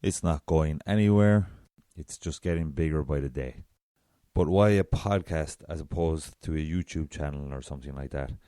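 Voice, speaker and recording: An Irish man with a very deep voice hosting a solo podcast, chatty and self-deprecating. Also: normal speed, dark tone, no echo, studio quality. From south of Ireland. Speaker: podcast host.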